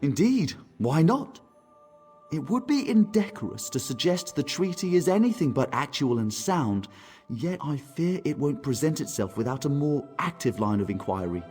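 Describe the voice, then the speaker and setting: A genteel wood elf diplomat from a fantasy game. His fairly high male voice moves expressively through tactful, formal phrases, with faint music behind it. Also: big pitch swings, normal speed, darkish tone. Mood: courteous, careful. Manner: polite, formal, ornate phrasing. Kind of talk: video game character dialogue.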